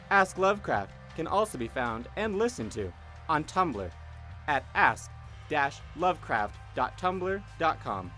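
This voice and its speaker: A man's voice: medium pitch, pushed and bright. A man playing a pompous, ominous announcer in a scripted horror podcast advert. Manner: theatrical, pompous. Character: grandiose, ominous male promo announcer of cosmic horror.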